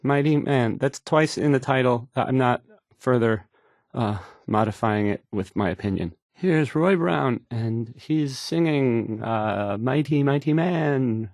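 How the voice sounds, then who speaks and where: A man's voice: medium pitch, expressive, darkish tone, dry recording, studio quality. An actor playing an awkward, insecure young male radio DJ who stammers and gets flustered introducing songs. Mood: awkward, halting. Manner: halting, stammering, flustered, self-doubting. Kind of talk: stumbling radio song introductions.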